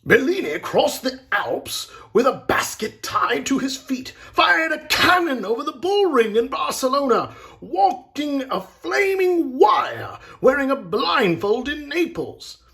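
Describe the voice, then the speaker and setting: A man voicing an excitable, boastful showman agent from a storybook, booming and exclamatory in a dramatic way. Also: measured pace, gravelly, heavily acted. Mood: excited, boastful.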